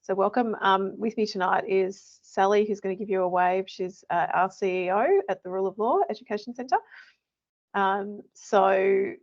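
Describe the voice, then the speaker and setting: Croaky Australian woman presenting a webinar. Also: mid-range voice, normal speed. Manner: chatty, many fillers and restarts. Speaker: education manager presenting a webinar. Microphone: computer mic on a video call. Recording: dull lo-fi webinar audio.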